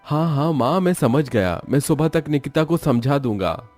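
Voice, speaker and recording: A man's voice: fairly high pitch, animated, normal speed, a little mellow, faint music behind, natural voice. A man playing an apologetic young newlywed son in a Hindi cartoon story, explaining and coaxing.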